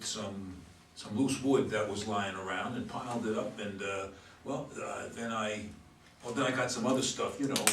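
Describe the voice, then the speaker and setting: A man's voice: on the low side, close to an everyday voice. Man acting a stammering homeless man, echoey room. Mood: hesitant, evasive. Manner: stammering, halting, hesitant. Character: homeless man, flustered, evasive, humble and wistful. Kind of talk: stage play read aloud by readers' theater. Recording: echoey room, distant mic, faint noise.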